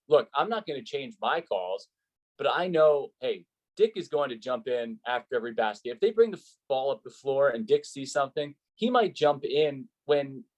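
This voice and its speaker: A man's voice: medium pitch, low-quality recording. A man with an ordinary mid-range voice talks as an interviewed play-by-play announcer. He explains things conversationally and earnestly, putting emphasis on the points he cares about. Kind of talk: conversational interview, giving advice.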